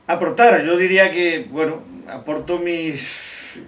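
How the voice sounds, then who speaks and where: A middle-aged or older man from Extremadura in Spain, answering interview questions deliberately, often rephrasing as he goes. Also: a little high. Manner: deliberate, halting, rephrasing as they go. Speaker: interviewee, technology teacher and amateur poet. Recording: roomy, distant mic, faint noise.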